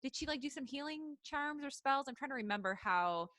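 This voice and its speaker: A woman's voice: sits high, expressive, brisk, sharp tone, no room sound. A woman co-hosting a casual, comedic podcast chat, giggly and a little hesitant as she talks. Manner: giggly, hesitant when recapping. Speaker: podcast co-host. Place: recorded remotely over a video call.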